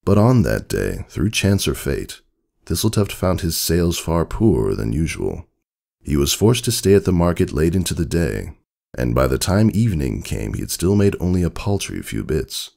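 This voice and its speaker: A man giving a dramatic storybook narration in a measured, solemn, theatrical manner. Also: extremely low pitch, sing-song, creaky, darkish tone.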